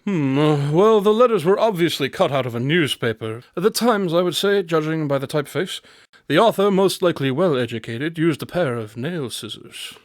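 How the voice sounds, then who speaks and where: An actor playing a shrewd, composed detective in an audio drama, speaking in a measured, analytical and assured way. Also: male voice, fairly high pitch, lively intonation, no echo, clean studio sound, close to an everyday voice.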